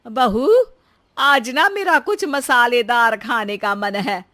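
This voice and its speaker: A woman playing a demanding, nosy elderly mother-in-law in an animated moral story, with a bossy, wheedling manner. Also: on the high side, slow. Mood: bossy, needling.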